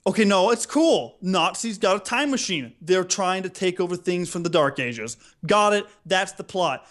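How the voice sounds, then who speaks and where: High-voiced, excitable man ranting comically. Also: moderate pace. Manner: excitable, theatrical, acts out reactions. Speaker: podcast co-host. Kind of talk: casual chat, comic ranting.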